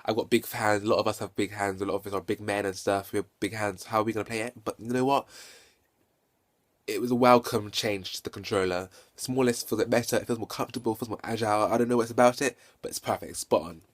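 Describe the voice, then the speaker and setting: A man with a stutter giving an unscripted, enthusiastic game review, halting and often correcting himself, recorded on a handheld phone. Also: lowish voice, fairly even intonation. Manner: halting, self-correcting and repetitive. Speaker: video game reviewer and vlogger. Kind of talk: unscripted casual hands-on review.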